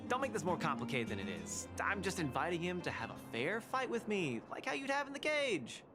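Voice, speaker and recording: A man voicing a cocky, upbeat young cage fighter in a video game, boastful, excitable and easygoing. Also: high-pitched, brisk. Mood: earnest, determined. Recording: loud game music behind. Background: loud game music.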